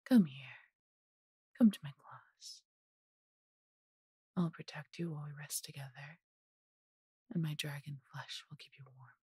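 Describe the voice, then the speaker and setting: A woman voicing a large, possessive but doting female dragon in an intimate fantasy audio roleplay, coaxing and teasing in a breathy, husky voice. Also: deep voice, a little slow, pauses often, dark tone, no echo, clean studio sound, full character voice. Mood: sleepy, tender.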